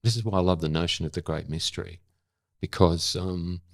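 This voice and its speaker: A deep, low-pitched older man talks in a reflective interview. He feels his way through sentences, pausing thoughtfully to find the right word. The sound is dry and close. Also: creaky, no room sound. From Australia. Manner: halting, thoughtful, searching for words. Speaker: interview guest. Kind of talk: reflective long-form conversation.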